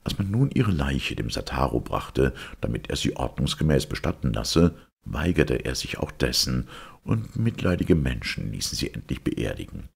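A man with an extremely low, crackling voice narrates an old ghost tale. His delivery is solemn and measured, yet the intonation moves up and down in a lively way. The tone is heavily husky. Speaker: storyteller reading folk tales. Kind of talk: scripted narration of a ghost legend.

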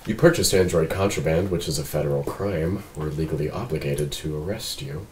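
A man playing a composed android police detective at a script read, formal, measured and precise. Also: a bass voice, darkish tone. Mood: stern, formal. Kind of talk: table read of a film script. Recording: some background room noise.

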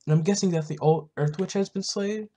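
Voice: mid-range voice, brisk, warm tone, a little hiss or hum, voice pushed up, lightly acted. A gamer voicing an earnest, concerned town merchant in a video game roleplay, reading with emphatic delivery. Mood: pleased.

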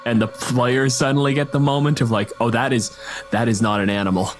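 A man's voice: mid-range voice, flat delivery, fairly quick, faint music behind. A man in a casual panel discussion about tabletop games, explaining things at length in vivid descriptions. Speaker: podcast panelist.

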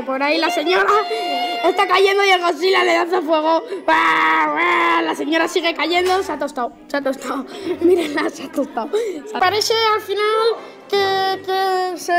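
A child of about ten playing an excited battle commentator in a homemade cartoon, repeating phrases. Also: extremely high pitch, creaky. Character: excited live commentator on a giant monster battle. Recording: music and chatter behind voice.

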